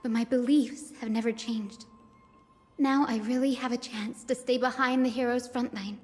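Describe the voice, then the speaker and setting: A woman voicing a gentle, earnest young assistant of sky-folk descent in a game story, speaking softly and sincerely. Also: on the high side, steady tone, normal speed, faint music behind, lightly acted. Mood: reflective. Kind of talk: voiced game story dialogue. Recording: echoey hall-like reverb.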